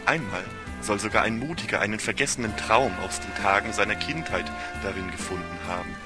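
A man's voice: on the low side, brisk, over music. A man giving a scripted literary narration for a radio play in a solemn, ornate storytelling manner.

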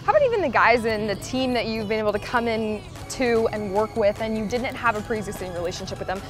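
Young woman, fast-paced, upbeat interviewer, outdoors, music underneath. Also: on the high side, expressive. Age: young adult. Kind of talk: casual interview while walking. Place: outdoors on a sunny college campus.

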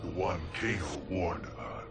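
An actor playing a bitter, proud, menacing ninja villain in a video game, with a gravelly, commanding voice. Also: male voice, low-pitched, steady tone, normal speed, natural voice. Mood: cold menace. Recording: loud music behind.

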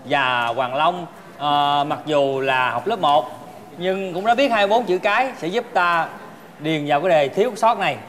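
A man's voice: fairly high pitch, moderate pace. A man in his thirties bantering on a comic family game show in a jokey, mock-formal way. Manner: jokey, mock-formal, stumbles while reading. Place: indoors in a large shop or showroom. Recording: music bed under the speech. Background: loud background music.

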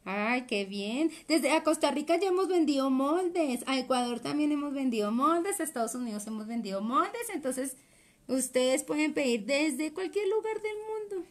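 A woman's voice: sits high, expressive, moderate pace. A woman, chatting through a live sewing class while she works at a table. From Colombia. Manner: chatty, explains while working with her hands. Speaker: sewing instructor. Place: indoor workroom at a cutting table.

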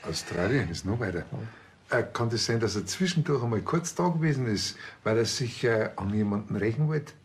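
A man's voice: lowish voice, lively intonation, fairly quick, slight background noise. Chatty Bavarian policeman. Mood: nosy, familiar. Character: chatty, naive, cheeky male Bavarian police officer.

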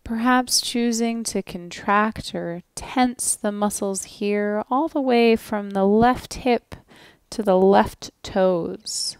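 A woman guides a relaxation practice at a very slow, unhurried pace. Her voice is smooth and clear at a medium pitch, with gentle, soothing instructions and long pauses. The sound is close and dry. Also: no room sound. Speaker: yoga nidra instructor.

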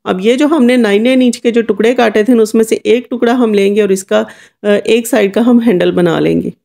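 A woman's voice: on the high side, steady tone, brisk, vocal fry, warm tone. A woman giving an informal, chatty step-by-step DIY voice-over as a tutorial host. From Kashmir.

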